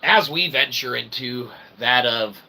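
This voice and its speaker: A man hosting an online show, delivering a rambling, wordy, mock-formal comic monologue with animated bursts. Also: medium pitch, measured pace, creaky, background noise. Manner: mock-formal, wordy phrasing with frequent check-in tags. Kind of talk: rambling comedic monologue to a livestream chat.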